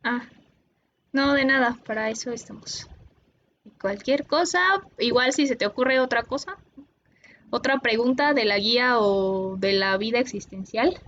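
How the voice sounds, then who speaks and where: A Mexican woman tutoring linguistics online, explaining patiently and pausing often with "eh". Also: on the high side, a bit bright, muffled recording, a little hiss or hum. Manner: patient, explanatory, with many "eh" pauses.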